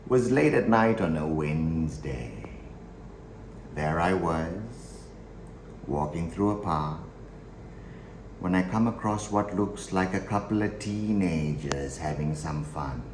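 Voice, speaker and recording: A man acting a slow, deep-voiced psychopathic killer. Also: lively intonation, exaggerated voice. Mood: quiet menace. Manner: deliberate dramatic pauses, swinging between hushed and forceful. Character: chillingly matter-of-fact male psychopathic killer, darkly amused. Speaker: monologue performer. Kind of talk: theatrical dramatic monologue. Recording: roomy echo, faint background noise.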